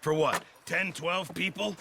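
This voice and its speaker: An actor playing a defensive, complaining camp cook and old Navy hand in a video game. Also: male voice, fairly high pitch, unhurried, vocal fry, background noise. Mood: defensive grumbling. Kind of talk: video game cutscene acting.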